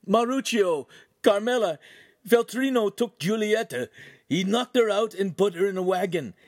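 A man voicing a frightened, naive young peasant squire in an audiobook, pleading and breathless with rough, uneducated speech. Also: high voice, fast, no room sound, studio quality, pitched above the performer's own voice. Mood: frantic babbling. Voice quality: strained, breathless.

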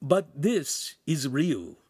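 A man's voice: fairly high pitch, very animated, drawn-out words, a little mellow, slightly rough, dry recording, clean studio sound, exaggerated voice. A man voicing a nervous, quirky shape-shifting imp who explains things earnestly in a storybook reading. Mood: earnest, worried.

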